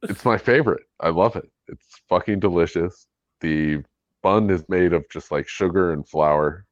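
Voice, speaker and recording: A man's voice: deep voice, moderate pace, no echo, dull audio. A laid-back man chatting on a podcast, blunt and casually swearing. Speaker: podcast panelist. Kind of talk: casual chat.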